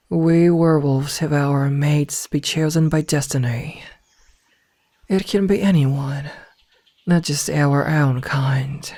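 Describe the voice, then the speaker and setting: Possessive female werewolf played by a woman for ASMR roleplay: unhurried, deliberate, slipping into whispers, smitten but menacing, close and dry sound. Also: low voice, mellow, slightly rough, no echo, full character voice. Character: possessive werewolf woman, pack omega, smitten yet threatening. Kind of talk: ASMR roleplay audio drama. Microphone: close, dry microphone.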